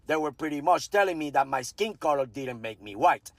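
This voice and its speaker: A man's voice: on the high side, lively intonation, brisk, no room sound. A man in his fifties from Puerto Rico, with a Puerto Rican Spanish accent and Spanish as his first language, telling a personal story in a blunt, profane way with Spanish asides. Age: 50s. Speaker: veteran storyteller.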